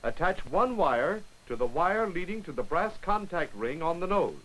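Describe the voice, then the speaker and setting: A man giving brisk, wry scripted narration for a 1940s military training film, on an old film soundtrack. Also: fairly high pitch, very animated, a bit bright, low-quality recording.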